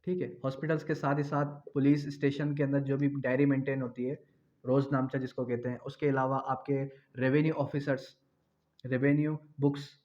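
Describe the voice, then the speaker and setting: A man's voice: medium pitch, little pitch movement, a little fast, darkish tone. A man with a Pakistani accent giving an explanatory law lecture in a didactic way, repeating key phrases for emphasis. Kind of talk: explanatory lecture, reading out legal articles and explaining them. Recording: dull, lo-fi audio.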